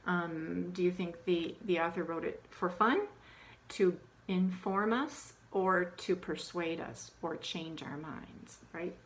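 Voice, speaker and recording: A woman's voice: on the low side, takes it slowly, poor audio. A woman giving an informal instructional talk on reading aloud to children, conversational with frequent ums and restarts. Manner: conversational, many ums, acts out questions to children in a hushed, animated voice. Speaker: teacher trainer.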